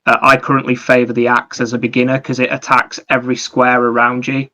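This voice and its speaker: A man's voice: medium pitch, monotone, a little fast, sharp tone. A man co-hosting a podcast, talking casually about games in a rambling, explanatory way. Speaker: podcast co-host. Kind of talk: casual gaming discussion.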